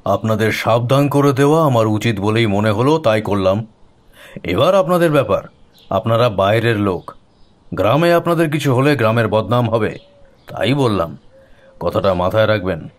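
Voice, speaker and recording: An actor playing an agitated village priest giving foreboding warnings in an audio drama. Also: male voice, fairly low pitch, animated, normal speed, lightly acted. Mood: grave, warning. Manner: urgent, repetitive, foreboding.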